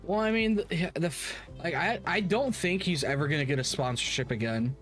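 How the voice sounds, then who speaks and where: Blunt, slangy, higher-voiced male streamer. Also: a little fast, a bit bright. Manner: blunt, repetitive, stammering. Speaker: game streamer. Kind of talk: casual, slangy gaming stream chat. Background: game music.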